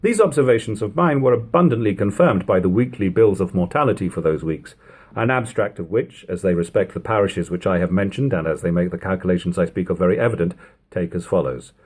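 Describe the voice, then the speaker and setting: A man reading an old literary text aloud as narration, in measured, emphatic phrasing. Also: sits low, lively intonation, darkish tone, breathy edge. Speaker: reader of a classic book. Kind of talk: audiobook-style literary narration.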